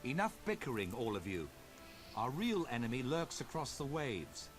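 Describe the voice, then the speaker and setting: An actor playing a gentle, earnest male scholar who reluctantly takes command in a video game. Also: medium pitch, moderate pace, music in the background, natural voice. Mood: firm. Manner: earnest, calm, a little hesitant. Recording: game audio with background noise.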